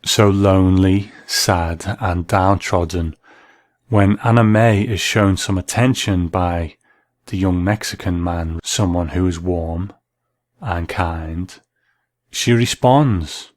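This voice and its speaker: A man with a low voice narrates scripted commentary on classic drama. He is measured and analytical, recorded close and dry with no room sound. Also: a little mellow, no room sound.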